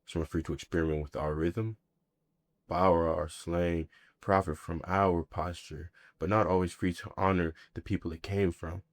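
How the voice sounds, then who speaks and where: A man performing a scripted spoken-word monologue in a measured, deliberate, reflective way, in short weighted phrases. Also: low-pitched, flat delivery, no echo.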